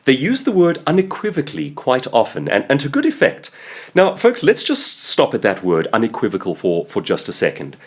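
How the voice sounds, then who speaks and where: A man with a South African accent delivers a sarcastic commentary monologue as the host. His husky voice leaps up and down in pitch all the time, hammering his points home with heavy emphasis. He sounds like a man in his thirties. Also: wildly swooping pitch, fairly quick.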